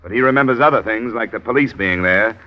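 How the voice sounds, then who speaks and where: An actor playing a terse, cool, matter-of-fact American insurance investigator in an old-time radio detective drama. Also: male voice, on the low side, quick pace, close to an everyday voice. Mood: insistent. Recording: muffled vintage radio recording.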